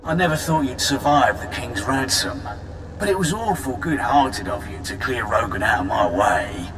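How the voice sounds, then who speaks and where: A man's voice: mid-range voice, animated, normal speed, noisy background, natural voice. A man playing a smug scheming crime boss. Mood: taunting. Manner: smug, taunting. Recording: roomy, distant game audio with score.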